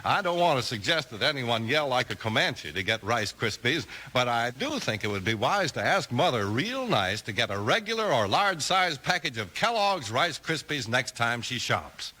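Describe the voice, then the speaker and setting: A man's voice: medium pitch, very animated, moderate pace, hardly any pauses, pushed and bright, rough recording. A man delivering scripted 1950s radio announcements with a polished, enthusiastic sales manner. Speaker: announcer and ad pitchman.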